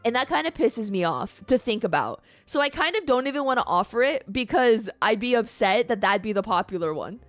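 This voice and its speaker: A woman's voice: on the high side, expressive, brisk, hardly any pauses, a bit bright, no room sound. A woman livestreamer chatting casually and playfully with her live audience in an informal, mildly sweary way. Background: background music.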